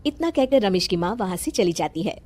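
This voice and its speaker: A woman's voice: medium pitch, lively intonation, fairly quick, darkish tone. A woman narrating a scripted moral story as a storyteller.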